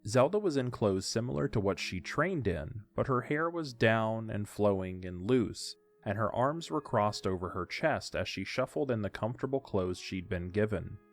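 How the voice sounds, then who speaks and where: A man narrates an audiodrama from a script. His voice sits fairly low, and soft music plays behind the story. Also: expressive, normal speed, hardly any pauses.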